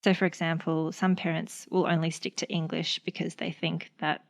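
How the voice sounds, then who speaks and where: A young Australian woman talks in a relaxed, rambling way on a podcast. Her voice sits low and carries a gravelly fry. She leans on fillers as she thinks aloud. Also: moderate pace. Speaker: speech pathologist. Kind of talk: casual podcast chat.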